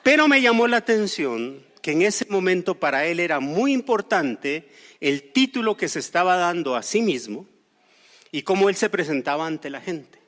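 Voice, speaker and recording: Costa rican guy preaching, high voice, echoey hall. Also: big pitch swings, moderate pace. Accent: Costa Rican Spanish. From Costa Rica. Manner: explains scripture, asides and gentle humor. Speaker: pastor. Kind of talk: sermon with storytelling.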